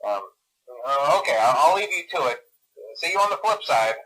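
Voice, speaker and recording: Over a telephone filter comes an awkward male employee from a video game, with a bright, forward voice. He leaves chatty training messages full of hesitations and stammers, staying upbeat and reassuring while sounding nervous underneath. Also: a little high, sing-song, a little fast, heavily acted, poor audio. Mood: awkward backtracking, then nervously encouraging. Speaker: security trainer leaving recorded phone messages.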